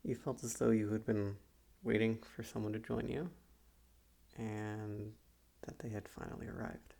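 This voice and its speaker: A calm, quietly condescending psychiatrist played by a man guides a hypnotic visualization in an ASMR roleplay. His lowish voice is soft and crackles with vocal fry. He speaks slowly and deliberately, with very little movement in pitch. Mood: quietly intrigued. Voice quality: soft, breathy, gravelly.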